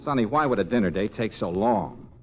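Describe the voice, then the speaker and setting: A man's voice: lowish voice, animated, a little fast, close to an everyday voice. An actor playing an anxious, lovesick, self-pitying man in a 1940s radio drama, agitated and exasperated. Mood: worried. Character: anxious lovesick male private detective, self-pitying and flustered. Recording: dull, noisy old radio recording.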